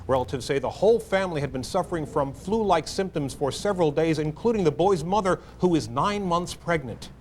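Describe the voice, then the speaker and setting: Higher-voiced American newsman, faint noise. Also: lively intonation, brisk, few pauses. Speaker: news reporter. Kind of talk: scripted news report.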